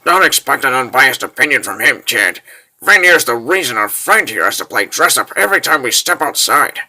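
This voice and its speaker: A man playing a wry, grumbling wizard in a fantasy comic dub, folksy, animated and full of wisecracks. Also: medium pitch, normal speed, full character voice. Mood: wry, explaining.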